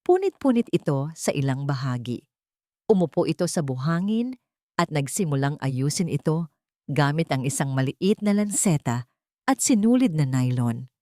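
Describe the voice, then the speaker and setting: A Filipino woman narrates a dramatic love story in a low register. The recording is dry, with very little room sound. Her delivery is scripted storytelling. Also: big pitch swings, normal speed, dark tone, dry recording.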